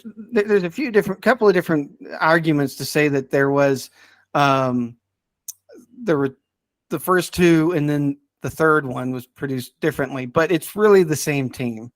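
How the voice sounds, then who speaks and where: Male podcast guest, slightly high voice, dry close sound, enthusiastic and chuckly in casual conversation. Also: no room sound. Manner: enthusiastic, chuckles while talking. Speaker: podcast guest presenting a ranked list.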